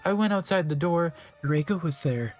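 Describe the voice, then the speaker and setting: A mid-pitched narrator reads a story theatrically, as a comic dramatic reading. The delivery drops into deadpan asides, with faint music behind. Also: moderate pace.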